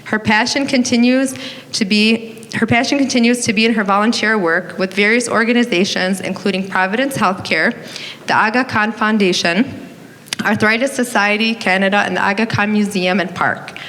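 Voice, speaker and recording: A woman's voice: mid-range voice, fairly even intonation, normal speed, fairly bright tone. Woman presenter reading scripted intros at a community event, reverberant hall. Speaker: museum deputy director introducing speakers. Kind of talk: prepared introduction read aloud. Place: auditorium. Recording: reverberant auditorium, faint noise.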